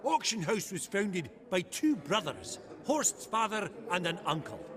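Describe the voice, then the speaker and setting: An actor playing a flamboyant, jovial, foul-mouthed socialite rogue in a video game, effusive and colloquial. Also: male voice, sits high, big pitch swings, a little slow, a crowd in the background. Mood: genial.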